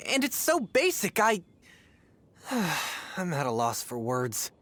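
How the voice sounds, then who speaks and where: A woman voicing an earnest, thoughtful young female traveler in a video game dub, speaking slowly and subdued. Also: fairly low pitch, wildly swooping pitch, fairly bright tone, heavily acted.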